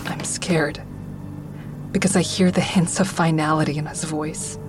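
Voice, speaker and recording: Audio drama lead: tense first-person woman narrator, low register, music bed underneath. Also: steady tone, unhurried. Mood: anxious, gentle. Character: tense, watchful woman narrating her own troubled story. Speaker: narrator and lead actor in a fiction podcast.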